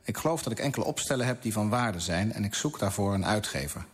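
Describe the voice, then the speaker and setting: An actor playing an impoverished male writer, polite, measured and earnest, in a radio play. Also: fairly low pitch, animated, husky. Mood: formal, hopeful.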